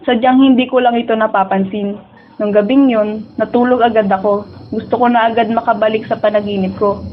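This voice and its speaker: A woman's voice: a little high, fairly even intonation, fairly quick, slight background noise, lightly acted. A young woman with a Filipino accent playing a dreamy teenage schoolgirl who prefers dreams to reality, in radio drama dialogue and first-person narration. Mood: reflective. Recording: tinny narrow-band audio with music behind.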